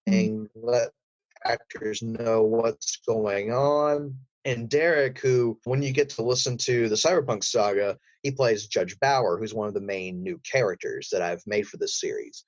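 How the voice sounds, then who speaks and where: A man speaking as a podcast guest, telling stories about creative work in a casual interview style with an animated manner. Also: fairly low pitch, measured pace, no room sound, dull audio. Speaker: podcast guest, voice actor and audio drama creator. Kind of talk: casual interview chat, storytelling.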